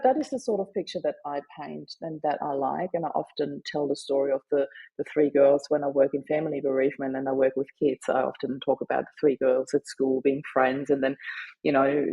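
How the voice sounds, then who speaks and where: A middle-aged woman whose first language is German, sharing her story earnestly and haltingly in an interview. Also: sits low, vocal fry, dark tone, slightly rough, muffled recording. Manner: earnest, halting, with frequent fillers. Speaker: guest, grief advocate and author.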